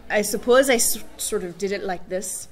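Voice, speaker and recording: A young woman voice actor chatting casually on a convention panel, bubbly and quick to laugh, into a table mic. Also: medium pitch, poor audio. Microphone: table mic on stand.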